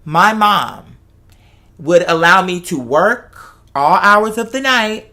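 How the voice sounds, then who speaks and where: Bright-voiced host in heartfelt casual talk. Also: medium pitch, expressive, slow. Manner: emphatic, repeats phrases for effect. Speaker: host of the show; artist who makes videos and music.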